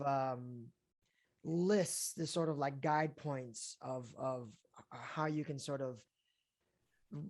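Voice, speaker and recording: Relaxed, chatty young man. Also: fairly high pitch. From Colombia, raised in Florida and Houston. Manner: relaxed and chatty, with many fillers and easy laughs. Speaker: actor guest. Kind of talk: casual interview talk.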